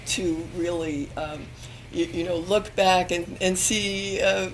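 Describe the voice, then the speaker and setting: Hoarse woman speaking haltingly on a panel. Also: on the low side, steady tone, slight background noise, low-quality recording. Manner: hesitant, many ums and restarts. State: losing her voice. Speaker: panel speaker, magazine editor. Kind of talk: alumni panel talk. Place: university event room with audience.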